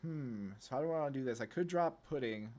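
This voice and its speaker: A man's voice: medium pitch, animated, quick pace, darkish tone. A man giving casual, laid-back gameplay commentary on a card game, thinking aloud as he plays. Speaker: gaming content creator.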